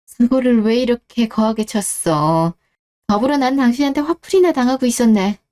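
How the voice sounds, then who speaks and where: A woman's voice: on the high side, normal speed, dark tone, close to an everyday voice. A woman playing a betrayed, cool-headed wife who questions and reproaches in radio-drama dialogue. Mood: cold, reproachful. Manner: cold, interrogating, reproachful.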